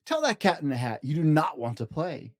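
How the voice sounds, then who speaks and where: A man's voice: a little high, wildly swooping pitch, brisk, dry recording, clean studio sound. A man voicing a fussy, scolding pet fish in a children's storybook, indignant and bossy. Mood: alarmed, bossy. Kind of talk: children's storybook read-aloud.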